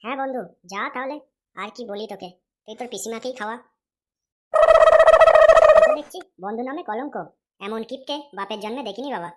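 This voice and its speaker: A woman's voice: on the high side, a little fast, pauses often, very noisy, close to an everyday voice. A woman voicing a young man in a comedy cartoon who teases his friend for being stingy. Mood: scornful. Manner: teasing, reproachful. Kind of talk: Bengali comedy cartoon dialogue.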